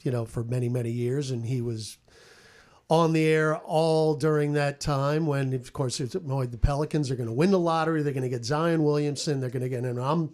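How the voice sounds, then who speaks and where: A man's voice: mid-range voice, fairly even intonation, brisk, darkish tone. An American man, a sports broadcaster, telling stories on a podcast roundtable. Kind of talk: animated storytelling on a podcast roundtable.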